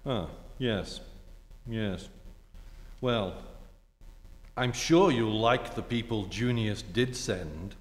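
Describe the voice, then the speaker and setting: A man acts a roguish, mock-gallant ex-husband in an old radio comedy. He takes his time, with big swoops in pitch. The stage hall echoes around him. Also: expressive. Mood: breezy. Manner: sardonic, mock-gallant, unhurried. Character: suave, teasing, roguish ex-husband. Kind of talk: staged 1940s-style radio comedy acting. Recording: echoey theatre hall recording.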